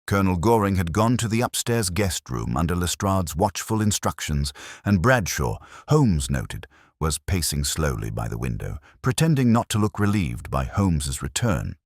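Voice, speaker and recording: Very deep-voiced man narrating a mystery. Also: big pitch swings, normal speed, no room sound, studio quality. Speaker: audiobook narrator. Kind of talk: scripted mystery story narration.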